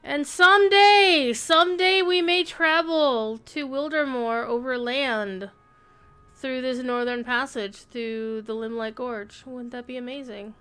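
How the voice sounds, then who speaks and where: A woman's voice: sits high, fairly even intonation, unhurried, pushed and bright, smooth. A woman streaming a game and chatting casually, thinking aloud and sometimes reading the quest text. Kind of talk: casual gameplay commentary. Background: faint game music.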